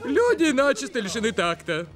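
A man voicing an excitable, wisecracking bird who hosts a cartoon animal show in a theatrical, showman-like way. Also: very high voice, normal speed, full character voice. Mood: mock-serious. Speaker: host. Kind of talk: cartoon dubbing. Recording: music behind the voice.

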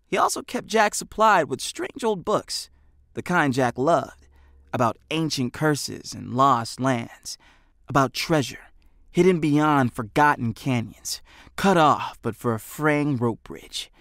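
A man's voice: medium pitch, animated, takes it slowly, a bit bright, dry recording. An American man narrating a storybook as an audiobook reader, in scripted style, close on the microphone.

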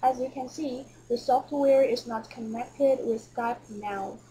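A woman reading scripted narration for a software tutorial. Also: a little high, fairly even intonation, measured pace, slight background noise, poor audio.

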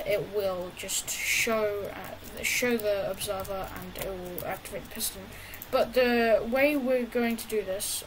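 A woman casually talking through a building tutorial over gameplay, halting often with fillers and restarts. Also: mid-range voice, background noise. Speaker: gaming tutorial creator.

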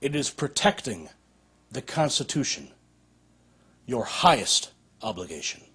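American male speaker, thirties, podium-style formal speech, fairly high pitch, rough husky tone, solemn delivery. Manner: solemn, declamatory, measured. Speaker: organization director giving an address. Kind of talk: formal scripted political speech. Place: indoor curtained room, at a podium.

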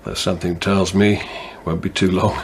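An actor playing a kindly middle-aged English police constable, calm and reasoning, with a husky voice, in a radio drama. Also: male voice, deep voice, moderate pace, slight background noise. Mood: gentle, steady.

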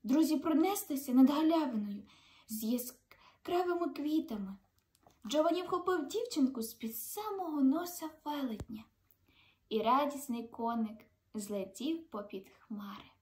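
A woman's voice: sits high, lively intonation, measured pace, a little mellow. A young woman reading a children's fairy tale aloud expressively, as a storyteller. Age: young adult. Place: indoors in a room, seated.

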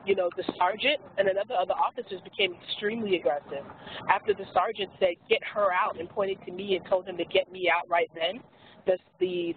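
A woman speaking as an activist on a webinar panel, hoarse from losing her voice, emphatic and earnest with frequent restarts. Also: mid-range voice. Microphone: telephone handset. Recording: tinny phone line with faint hiss.

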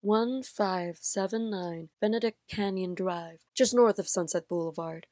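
An actress playing a confident, alluring and mysterious stage actress who comes as a client in an audio drama, poised and dramatic. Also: female voice, medium pitch, unhurried, mellow, no room sound, clean studio sound, close to an everyday voice. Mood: concerned.

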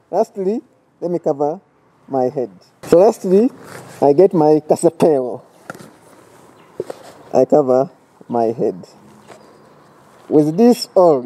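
A Ugandan man in his thirties runs an outdoor training class. He walks through each step plainly and patiently. His voice is mellow and dark, but pitched slightly high. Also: poor audio. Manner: instructive, explaining step by step. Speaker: soap-making trainer. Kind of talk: outdoor safety demonstration. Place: outdoors by a metal gate.

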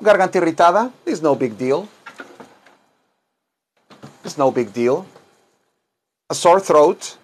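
Slow-paced middle-aged man teaching. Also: fairly high pitch, animated. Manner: informal, repetitive, dictating phrases word by word. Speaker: English teacher and self-styled chaplain. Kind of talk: bilingual English lesson in Spanish and English. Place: small wood-paneled room indoors.

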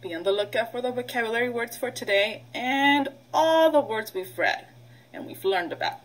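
A middle-aged woman, a preschool teacher, leading a read-aloud vocabulary lesson in an instructional way, pausing for children to repeat. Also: fairly high pitch, poor audio. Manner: instructional, prompting with pauses for repetition.

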